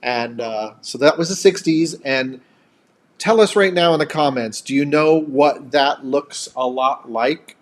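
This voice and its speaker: A man's voice: medium pitch, measured pace. An American man co-hosting a casual live chat in a chatty, upbeat, playful manner. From upstate New York, United States. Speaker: host of a couple's travel live chat.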